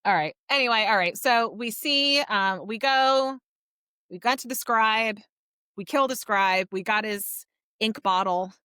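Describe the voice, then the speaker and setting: Bright, clear-voiced woman co-hosting a podcast. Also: on the high side, measured pace, dry recording, studio quality. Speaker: podcast co-host. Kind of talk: casual book discussion, recapping a story.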